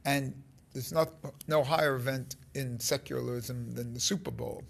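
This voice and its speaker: A man in his fifties giving an informal spiritual talk to his phone, halting and rambling, with emphatic stresses and a gravelly voice. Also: medium pitch, steady tone, a bit bright. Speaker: spiritual teacher. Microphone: phone held close at arm's length.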